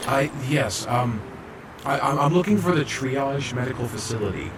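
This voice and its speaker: A man's voice: medium pitch, lively intonation, normal speed, recorded from a distance, music in the background, lightly acted. A man playing a shy, awkward young robot medic in an audio drama, hesitant, stammering and apologetic. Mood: flustered, awkward. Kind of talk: fan audio drama acting.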